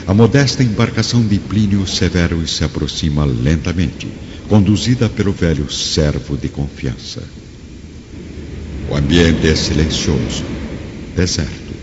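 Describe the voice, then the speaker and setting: A man's voice: sits low, background noise. A man narrating a radio drama as a grave storyteller, in a scripted, dramatic style. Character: grave omniscient storyteller of a Roman-era saga. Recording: long reverb, dull track, music bed.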